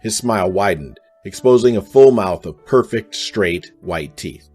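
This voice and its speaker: A man's voice: fairly low pitch, expressive, measured pace, darkish tone, slightly rough, faint music behind. A man reading scripted narration for a radio drama.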